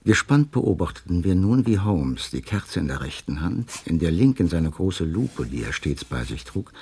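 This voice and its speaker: A man in a radio play acting a detective's loyal gentleman companion, narrating and speaking in a composed storytelling manner. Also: low voice, very animated, normal speed, husky, exaggerated voice. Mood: composed, suspenseful narration.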